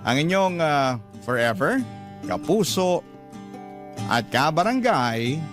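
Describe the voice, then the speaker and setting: A man's voice: a little high, very animated, slow. An actor playing a sincere family man who narrates his own life in a radio drama letter. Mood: grateful, hopeful. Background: clear music bed.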